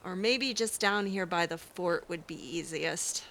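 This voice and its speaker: A woman's voice: fairly low pitch, a little slow, fairly bright tone, a little hiss or hum. A woman doing in-character improvised narration of a video game, musing aloud to herself as she goes. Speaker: let's play host roleplaying her character.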